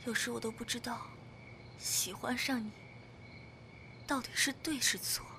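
A woman's voice: on the high side, fairly even intonation, a little slow, bright, forceful tone, slight background noise. A woman playing a jealous, hot-tempered young girlfriend in a modern Chinese audio drama, with an emotional, accusing delivery. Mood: hurt.

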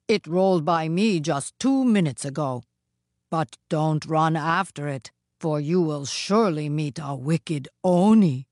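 A woman's voice: lowish voice, expressive, moderate pace, no room sound, studio quality, lightly acted. A woman playing a stern, protective statue of a god in a storybook, speaking firmly and solemnly. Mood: stern, warning.

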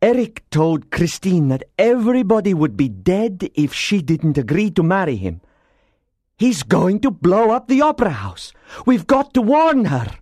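A man's voice: high-pitched, very animated, a little slow, a little mellow, no echo, clean studio sound, voice pushed up, heavily acted. A man voicing a shrewd, thoughtful companion who is easily alarmed, in audiobook dialogue.

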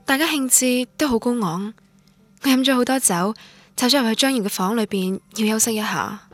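Actress as a wistful young student, highish voice. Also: female voice, a little fast, dry recording, faint music behind, lightly acted. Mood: wistful, reflective. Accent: Cantonese from Guangdong. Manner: wistful, literary, reflective. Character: wistful young woman student recalling lost love, lonely. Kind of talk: scripted radio drama narration.

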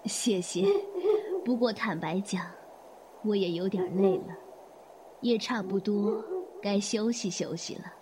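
A gracious middle-aged hotel manager played by a woman in an audio drama. Her mid-pitched voice is soft, polite and a little weary, over faint background ambience. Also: unhurried, noisy background, lightly acted. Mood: weary, discreet. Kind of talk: Chinese audio drama acting.

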